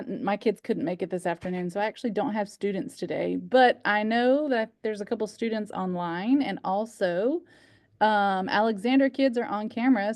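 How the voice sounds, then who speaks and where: A woman's voice: medium pitch, no room sound. Chatty American woman hosting, dry sound. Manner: chatty, enthusiastic. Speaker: host of a virtual culture club. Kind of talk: casual hosting chat.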